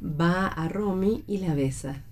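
A woman's voice: fairly low pitch, slow pace. A woman with an Argentine accent reading stage directions as narrator of a radio play, in scripted narration.

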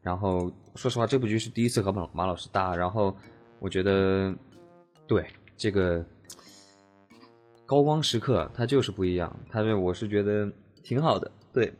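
A man's voice: on the low side, normal speed, a little mellow, soft background music. A man chatting casually as a voice actor guest, joking and rambling as he goes. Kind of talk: casual chat.

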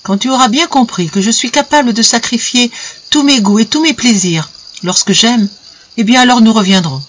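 A narrator voicing a calm, quietly firm wife in a story, answering simply and without any fluster. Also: mid-range voice, normal speed, a little hiss or hum, voice pushed up, natural voice. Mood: gently firm. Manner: simple, unruffled, matter-of-fact answers.

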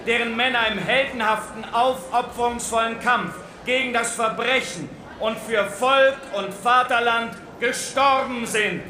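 An actor playing a bombastic, patriotic host of a charity gala in a radio drama, declaiming pompously to the room. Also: male voice, very high voice. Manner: declamatory, pompous public address. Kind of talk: German radio drama acting. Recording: echoey hall with noisy crowd.

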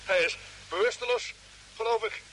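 An actor playing an urgent, concerned space expedition commander in a vintage radio drama, with exclamatory delivery. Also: male voice, high-pitched, normal speed, bright, forceful tone, low-quality recording. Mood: anxious concern. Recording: hissy old radio recording, background noise.

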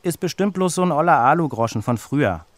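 Man putting on a cheeky eager little kid from a storybook, pitched way up. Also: animated, quick pace, no echo, close to an everyday voice. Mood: dismissive. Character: excitable, dismissive young children, boy and girl twins.

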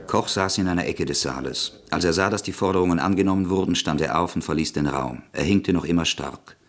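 Deep-voiced male radio-play narrator. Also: brisk.

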